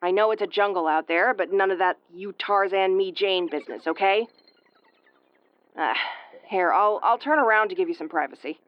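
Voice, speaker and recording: A woman's voice: mid-range voice, normal speed, clean tone, muffled recording, close to an everyday voice. A woman playing a brash, easily flustered starship commander with a tomboyish, brusque, sweary delivery in an audio roleplay. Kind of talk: audio roleplay acting, spoken to the listener.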